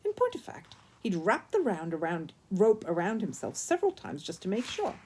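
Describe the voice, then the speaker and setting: A woman reading a children's story aloud as an expressive narrator. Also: mid-range voice, moderate pace, husky. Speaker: storyteller, audiobook reader.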